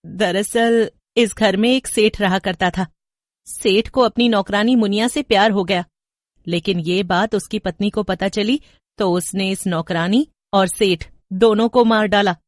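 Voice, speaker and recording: A woman's voice: medium pitch, steady tone, measured pace, dry recording, studio quality, close to an everyday voice. Woman acting a solemn, dramatic ghost mistress. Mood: grave. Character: vengeful but pleading captive female ghost mistress.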